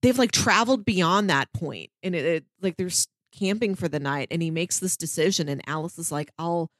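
A woman's voice: fairly low pitch, moderate pace, no echo. A woman co-hosting a podcast, casually retelling and discussing a story in loose, conversational speech peppered with filler words. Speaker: podcast co-host.

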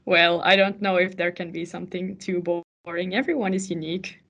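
A woman's voice: fairly low pitch, flat delivery, a little fast, fairly bright tone, lo-fi sound. A woman hosting an interview podcast and putting questions to her guest.

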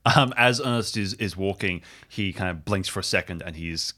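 A man's voice: low-pitched, moderate pace, pushed and bright. A man improvising audio drama as a player, telling his character's actions in a casual, joking manner. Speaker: player and co-narrator.

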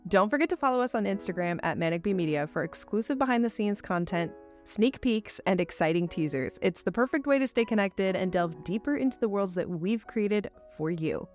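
A woman's voice: mid-range voice, expressive, fairly quick, hardly any pauses, a little mellow, soft background music. A woman hosting with a polished, effusive scripted announcement. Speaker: host and voice actor.

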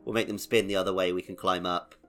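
Lower-voiced man on a gaming podcast. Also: little pitch movement, fast talker, fairly bright tone, faint music behind. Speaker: podcast co-host. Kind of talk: casual video game podcast discussion.

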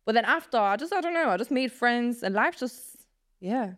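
A woman's voice: on the high side, normal speed. Young woman guest chatting, lots of fillers. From Poland. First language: Polish. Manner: relaxed, rambling, heavy use of filler words. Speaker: podcast guest. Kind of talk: casual chat.

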